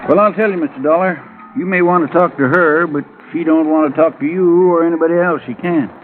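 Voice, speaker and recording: Through a thin old radio recording with faint music comes a man acting a folksy Western sheriff. His drawling voice sits on the high side. He is blunt and wry, in classic 1950s radio drama manner. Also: a little fast, phone-quality sound, natural voice.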